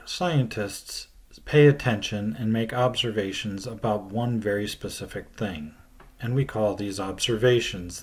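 Slow, deliberate male teacher. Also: fairly low pitch, darkish tone. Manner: slow, deliberate explaining with falling phrase ends. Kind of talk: recorded video lecture. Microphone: webcam at desk.

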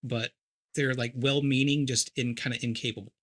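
A man in casual chat on a stream, calmly explaining game lore in detail. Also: medium pitch, normal speed. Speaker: co-host guest.

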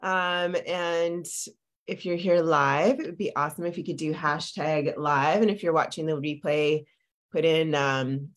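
A woman's voice: deep voice, fairly even intonation, measured pace. A woman in her forties, a business coach, talking live over a video call from her home office, a little congested with a lingering cough, chatty and full of fillers and tag questions. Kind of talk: live-streamed coaching talk. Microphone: video call webcam.

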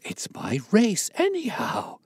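A man's voice: medium pitch, wildly swooping pitch, moderate pace, a little mellow, husky, dry recording, pitched above the performer's own voice. An irascible older colonel from a storybook, as a male narrator puts him on. He blusters in a raised, swooping voice. His delivery is emphatic and easily agitated, in a very dry recording. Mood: gasping bewilderment. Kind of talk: audiobook character dialogue.

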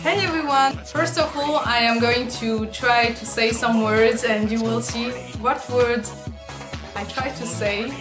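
A young French-accented woman speaks slowly and casually to viewers. Her voice is a little high, and the room sounds echoey. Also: fairly even intonation. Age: young adult. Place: bedroom with vanity. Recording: roomy sound, music behind.

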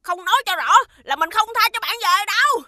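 Shrill, feisty cartoon child. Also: female voice, lively intonation, a little fast, sharp tone, dry recording, clean studio sound, heavily acted. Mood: angry, suspicious. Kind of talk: animated series dubbing.

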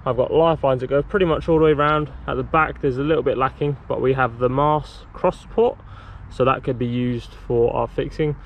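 A young man casually explaining a DIY build outdoors, talking to a handheld camera in a relaxed, rambling way. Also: medium pitch, brisk, hardly any pauses, a little hiss or hum. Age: young adult. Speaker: sailing vlogger. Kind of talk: casual how-to vlog. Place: outdoors in a garden, later in a shed. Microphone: handheld camera at arm's length.

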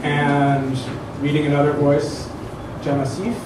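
Echoey, higher-voiced man introducing a reading. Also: completely flat delivery. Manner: halting, searching for words, digressive. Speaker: host introducing a literary reading. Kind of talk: informal spoken introduction before an audience. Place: indoor event room, at a standing microphone. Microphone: stand microphone, picked up from a distance. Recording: echoey room, distant mic, clear background noise.

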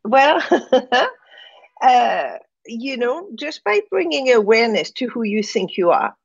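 A woman's voice: fairly high pitch, sing-song, slow, creaky, husky, dry recording, highs cut off. A woman with a French accent, speaking reflectively as a guest in an interview and often checking in with "right?". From France, raised in Morocco. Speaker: guest, transformation coach.

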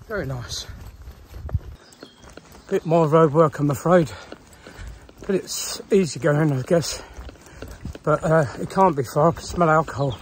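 A man's voice: on the high side, a little slow, long pauses. A man in his fifties, a hiker, chatting casually and wryly to his phone on a windy coastal footpath. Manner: chatty, rambling, wry asides. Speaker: hiker vlogger. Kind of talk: casual walking vlog narration. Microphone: phone at arm's length.

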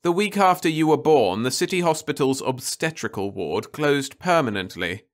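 A man's voice: on the high side, lively intonation, moderate pace, pitched above the performer's own voice, lightly acted. A man doing a stern, insistent mother. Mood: urgent. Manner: firm, insistent. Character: stern, earnest middle-aged mother.

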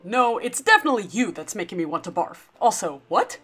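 A woman voicing a grumpy, sarcastic young woman who speaks in a dry, deadpan way. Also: mid-range voice, brisk, husky, faint music behind.

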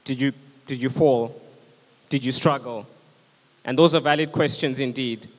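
A man's voice: medium pitch, steady tone, moderate pace. A young man preaching a sermon on a church stage, earnest and urgent, into a handheld microphone. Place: church stage with band instruments, indoors. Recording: long hall reverb.

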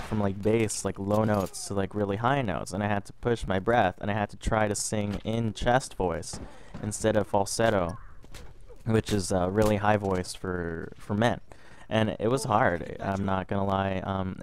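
Rambling young man, low voice. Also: slight background noise. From Canada. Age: young adult. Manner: rambling, repeats words and restarts often. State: a little sick. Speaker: gaming vlogger. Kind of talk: casual storytelling over gameplay.

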